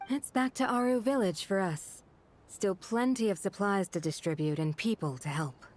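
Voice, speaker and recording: A woman voicing a steady, earnest desert dweller and loyal friend in a video game, composed in manner. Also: medium pitch, normal speed, close to an everyday voice.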